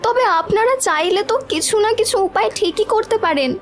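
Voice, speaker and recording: A desperate village wife in a cartoon played by a woman pleads in an extremely high voice. She is deferential yet insistent, over a faint bed of music. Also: brisk. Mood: earnest pleading. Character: desperate, pleading village wife defending her jailed husband. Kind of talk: cartoon voice acting.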